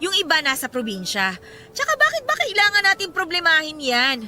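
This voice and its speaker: A woman's voice: extremely high pitch, very animated, brisk, bright, forceful tone, full character voice. A woman playing a feisty, resentful young mother and stepsister in a radio drama, sarcastic and combative. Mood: irritated, defensive. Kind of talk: Tagalog radio drama acting.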